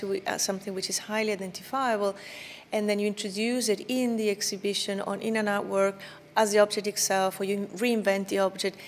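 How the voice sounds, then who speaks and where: Woman curator talking through a show, pretty flat delivery, lots of ums, big echoey gallery with faint hum. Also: medium pitch. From Italy. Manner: thoughtful, fluent with many fillers and restarts. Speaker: exhibition curator. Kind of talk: explaining an exhibition in an interview. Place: indoor art gallery exhibition. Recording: reverberant gallery with faint background noise.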